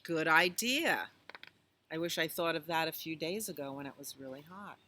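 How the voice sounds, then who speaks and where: A woman's voice: low voice, moderate pace, pushed and bright, slight background noise. A woman reading a picture book aloud to children, with chatty asides, exclamations and questions to her listeners. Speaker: children's storytime reader at a library.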